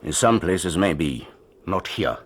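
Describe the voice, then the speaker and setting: An actor playing a stern, protective older father in a radio drama, grave and warning, with a husky voice. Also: low voice, expressive, takes it slowly, exaggerated voice.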